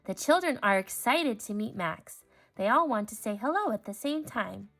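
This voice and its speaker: A woman narrates a children's storybook in a fairly high voice. Her reading is expressive and clearly phrased for young listeners, with music playing behind her. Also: moderate pace. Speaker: storybook reader.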